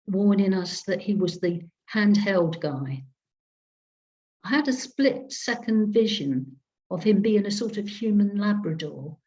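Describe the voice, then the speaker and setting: A woman reading a story aloud in the first person with a wry, sardonic, expressive delivery over a video call. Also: on the low side. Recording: dull lo-fi video call audio.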